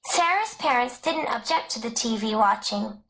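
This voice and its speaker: Woman narrator w/ high pitched voice reading a school text out loud. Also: expressive, normal speed, low-quality recording. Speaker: audiobook narrator. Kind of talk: scripted educational narration, read aloud.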